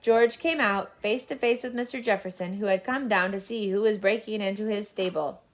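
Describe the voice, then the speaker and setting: A woman reading a children's storybook aloud as scripted, expressive narration. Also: mid-range voice, moderate pace. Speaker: storybook reader.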